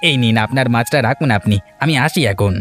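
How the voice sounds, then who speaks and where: A man's voice: mid-range voice, expressive, fast talker, fairly bright tone, faint music behind, close to an everyday voice. A man voicing a friendly, eager village man in a cartoon, chatty and helpful. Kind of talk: cartoon voice acting.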